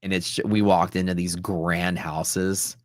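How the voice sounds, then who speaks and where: A man's voice: sits low, little pitch movement, unhurried, dry recording, studio quality. A man from Texas hosting a livestream, telling stories in a casual, rambling, slangy way. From Texas, United States. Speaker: livestream host, futures trader. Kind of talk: casual livestream chat, storytelling.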